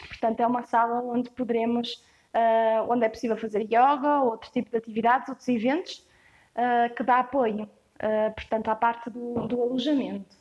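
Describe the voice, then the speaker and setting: A young woman with a European Portuguese accent gives a casual walkthrough tour of a rustic stone farmhouse. She describes each space in a relaxed way, with frequent hesitations. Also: a little high, dry recording, highs cut off. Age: young adult. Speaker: property tour guide.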